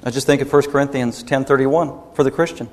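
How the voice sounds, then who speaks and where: A man's voice: medium pitch, fairly even intonation, fast, big-room sound. A man preaching a sermon as pastor, emphatic and rebuking, driving points home by repeating them.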